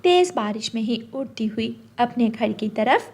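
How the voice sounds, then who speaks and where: A woman's voice: a little high, animated, a little slow, darkish tone, husky. A woman narrating a children's story from a script.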